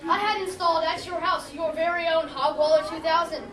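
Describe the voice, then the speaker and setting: A woman's voice: very high voice, fairly even intonation, a little fast, fairly bright tone, some chatter behind. A woman playing a reformed, earnest Big Bad Wolf telling its story theatrically in a stage comedy. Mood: contrite. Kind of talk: youth stage comedy acting. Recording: echoey hall from far off, audience noise.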